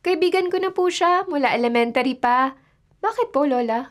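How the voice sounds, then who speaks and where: A woman's voice: sits high, animated, normal speed. A woman playing a friendly, trusting teenage schoolgirl granddaughter in a radio drama. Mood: cheerful. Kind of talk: Filipino radio drama acting. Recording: music bed underneath.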